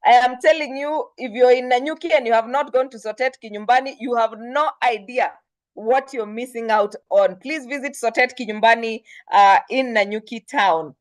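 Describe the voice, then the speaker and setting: A woman's voice: high voice, dry recording. A woman with a Kenyan accent, hosting with effusive, promotional announcements. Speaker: host. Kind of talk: enthusiastic event announcements and guest introduction.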